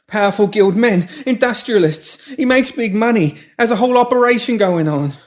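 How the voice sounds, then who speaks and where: Man acting a shrill, panicked lowlife pimp. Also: normal speed, natural voice. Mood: desperate, babbling. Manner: breathless, desperate babbling. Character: seedy pimp, panicked and pleading, babbling in pain.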